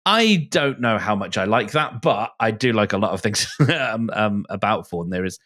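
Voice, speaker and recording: Low-voiced man talking haltingly. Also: lively intonation, a bit bright. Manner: hesitant, searching for words with repeated false starts. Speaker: film podcast host. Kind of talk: casual discussion.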